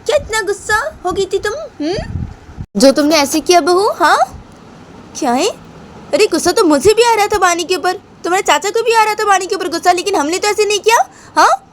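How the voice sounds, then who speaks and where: A sharp-tongued old grandmother scolds in a high, piercing voice, as a woman narrator puts her on. She keeps firing short questions and repeats herself. Faint background noise sits behind the story. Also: expressive, brisk. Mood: scolding. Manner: shrill, nagging, repeats questions.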